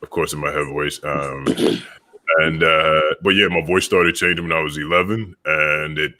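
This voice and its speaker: A man who sings bass, telling stories in a relaxed way with many fillers on a video call. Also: measured pace, no room sound. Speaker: opera singer, bass. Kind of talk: casual panel chat.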